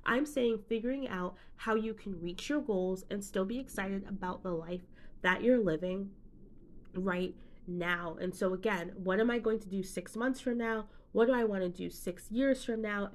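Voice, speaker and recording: Woman podcast host and business coach, casual and upbeat, speaks straight to the listener. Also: mid-range voice. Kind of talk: solo motivational podcast talk.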